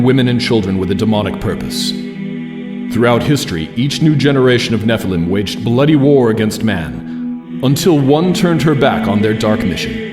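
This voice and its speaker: A man announcing an audio drama with solemn, portentous scripted narration. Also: mid-range voice.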